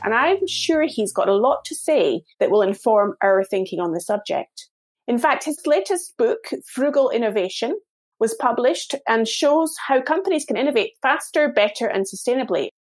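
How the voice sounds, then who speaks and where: A woman hosting a podcast, reading a polished introduction and asking interview questions. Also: a little high. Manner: polished, welcoming presenter delivery. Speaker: podcast host.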